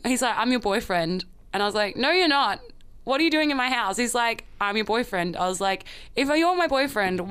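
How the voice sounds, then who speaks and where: A woman's voice: mid-range voice, fairly bright tone, clear, resonant tone. A woman co-hosting a radio show, chatting casually and playfully in a rambling, self-mocking way. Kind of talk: casual bantering chat.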